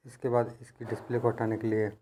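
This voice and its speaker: A man talks through practical repair work in a conversational tutorial style. His voice sits at a medium pitch. He explains each step patiently, like a working technician teaching his trade. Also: monotone, quick pace, warm tone, slight background noise. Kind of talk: hands-on instructional narration, conversational.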